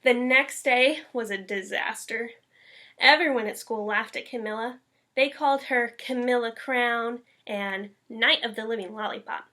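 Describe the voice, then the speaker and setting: A woman reads a children's story aloud like a schoolteacher. Her voice is fairly high and very bright, and her delivery is expressive. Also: unhurried. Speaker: elementary school teacher reading aloud.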